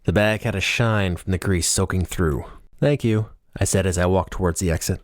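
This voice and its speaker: A man narrates a novel in the first person with a low-pitched voice. The sound is dry, with no room echo, as in an audiobook reading. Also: steady tone, a little fast.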